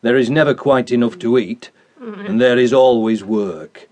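An actor playing a cynical old donkey in a radio drama, weary and deadpan. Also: male voice, mid-range voice, a little slow, close to an everyday voice. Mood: weary, fatalistic. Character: old male donkey, cynical, gloomy, stoical.

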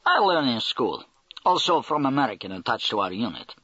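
A man's voice: medium pitch, big pitch swings, a little fast, pushed and bright, husky, no echo, muffled recording, full character voice. A man reading an audiobook, voicing a big, blunt Russian army major with broken English and a Russian accent.

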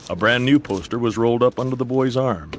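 A man's voice: medium pitch, brisk, background noise. A man narrating a dramatized Western story in scripted audiobook style.

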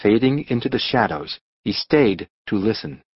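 A man reads scripted storybook narration expressively. His voice is husky and moves with lively intonation. The recording is dry and slightly dull. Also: lowish voice, moderate pace, no echo. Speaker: audiobook narrator.

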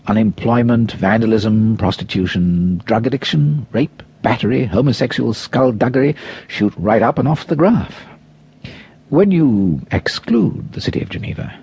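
Very husky, theatrical male narrator. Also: lowish voice, mellow, muffled recording. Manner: theatrical, savouring words with long pauses. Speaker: audiobook narrator. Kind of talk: dramatic first-person scripted narration.

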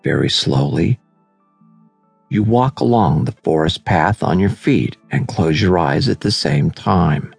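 A man narrating a scripted guided meditation in a soothing, measured, unhurried manner. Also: low voice, expressive, vocal fry, husky. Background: background music.

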